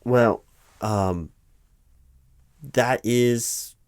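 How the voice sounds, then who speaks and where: A man's voice: mid-range voice, extremely slow, darkish tone, clean tone. A man playing a distracted, evasive corporate hiring manager in a one-sided comedic interview roleplay, stammering and rambling officiously. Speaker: performer playing a job interviewer.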